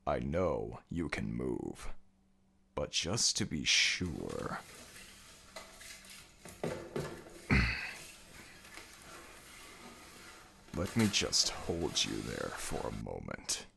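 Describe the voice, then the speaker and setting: Casting: imposing jackal god of embalming, audio roleplay. Slow, commanding delivery with a forceful, sharp edge. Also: male voice, a bass voice, very animated, gravelly, slightly rough, heavily acted. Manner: slow, deliberate, formal, commanding speech. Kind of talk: ASMR audio roleplay, dramatic slow acting.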